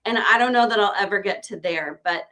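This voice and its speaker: Host, woman with a mid-pitched voice, enthusiastic and casual while asking questions. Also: brisk, pushed and bright, low-quality recording. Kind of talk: casual interview chat.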